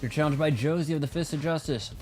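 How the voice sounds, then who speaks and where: A man's voice: a little high, fast talker, a little mellow, faint music behind, pitched above the performer's own voice, close to an everyday voice. A man voicing an earnest, proud young dojo fighter as he reads video game dialogue aloud. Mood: determined. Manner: determined, boastful.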